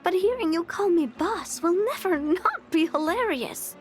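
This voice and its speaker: A woman's voice: very high voice, expressive, unhurried, faint music behind. A woman playing a playful, amused young gang boss in a video game, teasing and giggly. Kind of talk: video game character dialogue.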